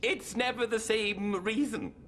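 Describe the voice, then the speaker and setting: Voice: medium pitch, unhurried, a little hiss or hum, close to an everyday voice. An actor voicing a drunken, giddy electric particle in a cartoon, rambling jollily. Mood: giddy, excited. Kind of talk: cartoon voice acting.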